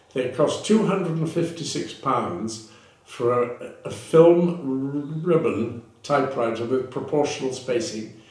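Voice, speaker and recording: A man's voice: mid-range voice, distant mic. Older British man reminiscing in an echoey room. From Britain. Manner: rambling, self-correcting, laughs between anecdotes. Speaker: interviewee. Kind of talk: reminiscing in a casual interview.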